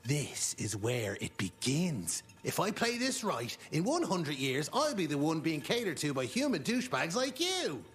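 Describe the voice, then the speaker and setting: An actor playing a pompous, scheming, boastful vampire upstart in a video game, with a florid, smug, self-important delivery. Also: male voice, a little high, huge pitch swings, moderate pace, hardly any pauses, fairly bright tone, soft background music. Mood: grandiose, scheming. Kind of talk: video game monologue acting.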